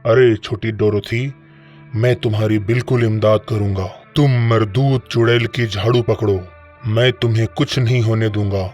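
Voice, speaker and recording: A man's voice: fairly low pitch, steady tone, a little mellow, faint music behind, lightly acted. A man voicing a great wizard, commanding yet reassuring, in a children's cartoon story.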